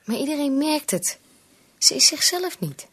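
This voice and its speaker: An actress playing a worried, insistent young bride-to-be pleading as a daughter in a radio play. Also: female voice, sits high, big pitch swings, measured pace, fairly bright tone, full character voice. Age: young adult.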